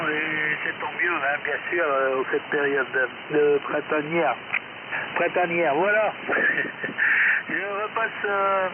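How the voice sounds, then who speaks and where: A very noisy, hissing radio signal carries a man's high voice, squeezed thin and narrow. He is a ham operator from Saint-Pierre and Miquelon, chatting in a rambling, hesitant way into his transceiver mic. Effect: shortwave radio transmission. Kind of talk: unscripted ham radio exchange. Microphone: radio transceiver microphone.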